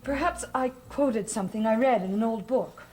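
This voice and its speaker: Actress as a high-pitched, bewildered studious woman. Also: normal speed, a little mellow, a little hiss or hum, natural voice. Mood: bewildered, pleading. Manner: pleading, plaintive. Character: anxious, bewildered studious woman protesting her innocence. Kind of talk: gothic soap opera acting.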